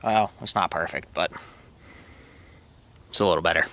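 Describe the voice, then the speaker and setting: A man's voice: deep voice, moderate pace, slight background noise. Laid-back man showing his gear. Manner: relaxed and rambling, with many fillers. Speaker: motorcycle vlogger. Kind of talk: casual show-and-tell narration. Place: outdoors at a campsite.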